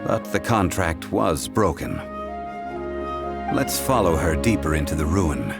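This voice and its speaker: Game role: grave, all-knowing gentleman, very low register, unhurried and formal. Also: expressive, creaky, exaggerated voice. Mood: firm, calm. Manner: formal, measured, stern. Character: calm, solemn, dignified man, ancient and all-knowing consultant. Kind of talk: video game cutscene voice acting. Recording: music behind the voice. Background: game background music.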